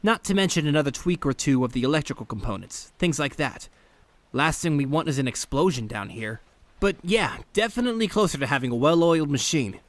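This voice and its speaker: Male actor as nerdy teen turtle inventor, slightly high voice, earnest, precise, polite, conversational audio drama. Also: lively intonation, brisk, no room sound, close to an everyday voice. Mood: focused, upbeat. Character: brainy, polite teenage mutant turtle inventor.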